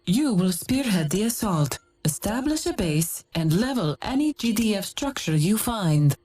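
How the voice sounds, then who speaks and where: An actress playing an imperious military commander in a video game, giving battle orders in a commanding, dramatic manner. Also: female voice, medium pitch, big pitch swings, unhurried, warm tone, dry recording, faint music behind. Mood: resolute. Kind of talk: video game mission briefing.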